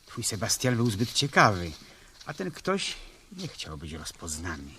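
Animated, take-charge amateur detective man. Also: on the low side, measured pace, exaggerated voice. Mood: hushed, serious. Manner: questioning, takes charge. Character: calm, inquisitive man detective on holiday with scouts. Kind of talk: 1970s Polish radio play acting. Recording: noisy 1970s radio drama recording.

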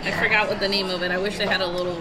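A woman talks over the loud chatter of a crowded restaurant. She has a medium-pitched voice and reviews food casually, with an enthusiastic, exclamatory manner. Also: level tone, racing, poor audio. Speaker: food vlog co-host. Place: restaurant dining room, indoors. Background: diners chattering.

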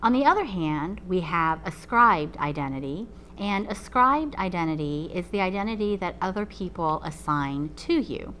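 A low-pitched woman professor speaks in a measured, explanatory way, often pausing on "um". Her first language is Chinese. She sits in a TV studio, and a faint hum runs under the voice. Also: lively intonation, a bit bright. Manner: measured, explanatory, frequent ums. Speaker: college professor. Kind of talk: recorded talk to a webinar audience. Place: TV studio with a painted backdrop.

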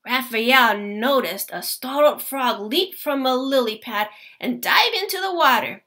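A woman teacher reading a children's picture book aloud to her class. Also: sits high. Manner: slow, expressive storytelling.

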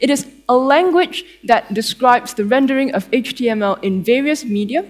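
A young woman speaks with a Malaysian accent in a fairly high voice. She presents at a tech conference in a conversational style, with playful, dry humour. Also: animated, normal speed. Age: young adult. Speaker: conference speaker, developer advocate. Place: theatre stage before an audience.

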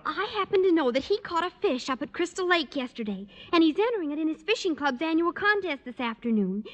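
A woman's voice: extremely high pitch, very animated, quick pace, highs cut off. A woman playing an earnest, anxious teenage schoolgirl in 1950s radio comedy style. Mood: eager, coaxing. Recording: noisy old radio recording.

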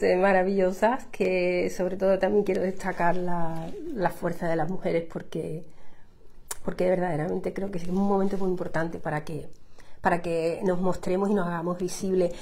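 A woman's voice: mid-range voice, darkish tone. A middle-aged woman from Andalusia with an Andalusian accent, chatting effusively with viewers on a home livestream, with many restarts and fillers. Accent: Andalusian Spanish. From Andalusia, Spain. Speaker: singer-songwriter hosting a livestream. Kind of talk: casual livestream chat with viewers. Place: living room at home, indoors. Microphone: laptop or phone camera at close range.

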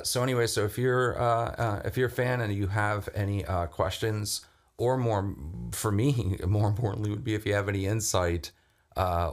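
Man, middle-aged, very low voice, relaxed and rambling, home studio, condenser mic. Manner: relaxed, rambling, many fillers and restarts. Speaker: vocalist demonstrating a vocal effects chain. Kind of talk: casual screen-recorded tutorial. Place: home music studio with drums and keyboards. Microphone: studio condenser mic with headphones.

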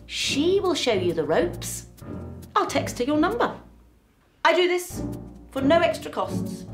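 A blunt, self-assured therapist who delivers odd judgments in a flat, matter-of-fact tone. She speaks slowly with lively swings of pitch. The room sounds echoey, with music playing behind her. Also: full character voice. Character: blunt quirky female therapist, middle-aged, overconfident.

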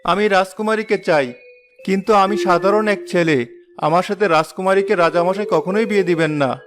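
A man's voice: sits high, moderate pace, natural voice. A man voicing a humble, ordinary young villager in a fairy-tale cartoon. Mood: dejected.

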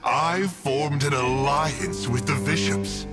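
A man's voice: fairly high pitch, takes it slowly. A man playing a smug, scheming villain in a video game, glib, theatrical and mocking, with playful flippancy. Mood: smug, matter-of-fact. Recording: music playing behind the voice.

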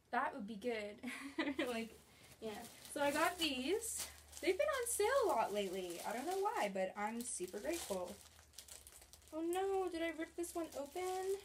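A woman's voice: a little high, a little hiss or hum. Chatty young woman vlogging at home. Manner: chatty, bubbly, talks with her hands. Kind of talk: casual grocery haul vlog. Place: small studio apartment bedroom, indoors.

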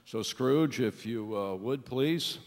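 Middle-aged man emceeing in a big hall. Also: mid-range voice. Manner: halting, with fillers and restarts. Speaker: radio show host. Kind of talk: emcee thank-you remarks. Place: large theater stage. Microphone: stand mic. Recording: reverberant hall with audience.

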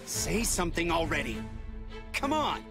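A suspicious young android from an anime game. His voice is fairly high and lively, with curt, impatient phrasing over clear music. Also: a little slow, exaggerated voice. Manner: curt, pressing delivery. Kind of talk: anime game dub acting.

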